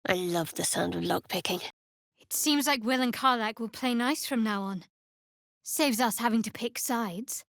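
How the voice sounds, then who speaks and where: A guarded young priestess of a dark goddess for a fantasy video game. Her voice sits fairly high and is recorded close and dry. She speaks in clipped, measured phrases with wry, sardonic restraint. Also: dry recording. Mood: musing. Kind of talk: video game voice acting, companion dialogue and battle barks.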